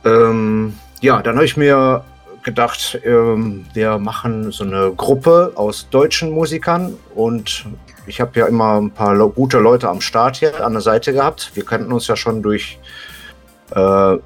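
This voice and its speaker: Low-pitched man chatting as podcast guest. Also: a little slow, faint music behind. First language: German. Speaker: podcast guest, hobby musician. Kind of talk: casual interview talk, explaining.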